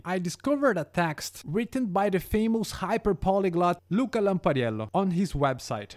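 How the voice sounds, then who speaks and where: A man whose first language is Brazilian Portuguese, narrating a prepared script about learning languages in a careful, steady way. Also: high-pitched.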